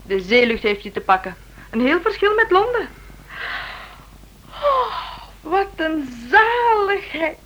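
An actress playing a lively, affectionate detective's wife in a radio drama, expressive and coaxing. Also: female voice, very high voice, slow pace, long pauses, lo-fi sound, full character voice. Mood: dreamy, delighted.

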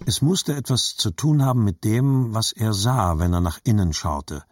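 An actor playing a reflective adult narrator remembering his boyhood, reading literary narration in a measured, husky voice. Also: lowish voice, darkish tone, dry recording, clean studio sound, close to an everyday voice. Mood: wistful.

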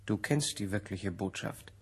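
Husky, lowish male scientist, urgent, defiant, insistent, in 1970 radio-drama style. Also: measured pace. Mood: probing, softer. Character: intense, defiant male physicist, urgent and morally outraged. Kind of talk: German radio play acting, 1970.

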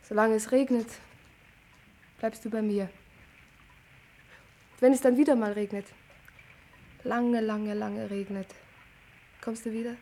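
A woman's voice: fairly high pitch, fairly even intonation, slow pace, pauses often, mellow. An actress playing a dreamy, clinging young woman in a German radio play, plaintive and in love. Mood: wistful.

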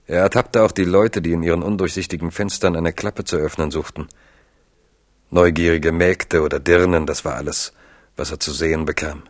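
A man narrating a literary radio drama in a measured, expressive storytelling manner. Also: deep voice, dry recording, studio quality.

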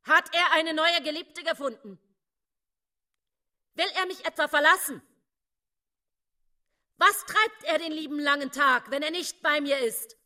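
A woman's voice: sits high, a little slow, long pauses, sharp tone, exaggerated voice. An actress playing a jealous, self-pitying woman's inner voice in a radio drama, fretful and insinuating. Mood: jealous, aggrieved.

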